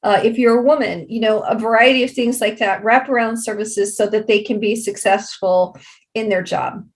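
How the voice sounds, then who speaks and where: A woman giving unscripted interview answers as a guest, explaining programs in a halting, deliberate way. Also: a little high, fairly even intonation.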